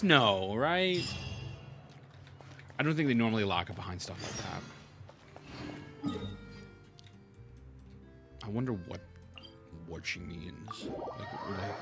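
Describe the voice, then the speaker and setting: A young man doing casual, slangy reaction commentary as a gaming streamer, often repeating short exclamations. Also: medium pitch.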